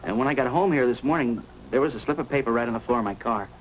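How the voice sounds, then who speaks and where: A male actor plays a friendly, folksy hobbyist in a 1950s radio drama. He chatters eagerly and quickly in a medium-pitched voice, over faint noise from an old recording. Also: natural voice, poor audio. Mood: chatty, earnest. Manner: talkative, folksy, hesitant asides.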